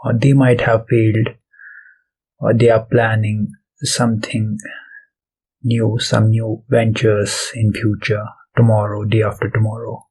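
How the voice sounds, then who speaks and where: A man leading a guided meditation as its host, speaking softly and deliberately in short phrases with pauses between them. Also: fairly low pitch, flat delivery, slightly rough, low-quality recording. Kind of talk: guided meditation, soft spoken instruction. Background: background music.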